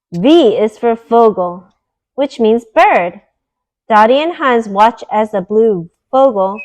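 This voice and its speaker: A woman with a fairly high voice reads a picture book aloud for children. Her delivery is deliberate and teacherly, setting out each word and its meaning clearly for young listeners. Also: a little hiss or hum, poor audio. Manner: deliberate, teacherly, explaining words.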